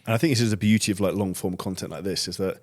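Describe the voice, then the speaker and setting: A low-voiced man hosts a podcast at a quick clip. His questions and remarks come in casual, blunt language with swearing mixed in. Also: fairly even intonation, darkish tone. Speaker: podcast host, online business coach. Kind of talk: casual interview chat.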